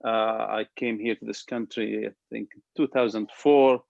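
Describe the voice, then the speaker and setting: A man from Gaza asking a question on a video call, halting, with many fillers and restarts. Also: medium pitch, steady tone, creaky, dark tone, no room sound. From Gaza, Palestine. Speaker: audience member asking a question. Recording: dry, dull lo-fi call audio.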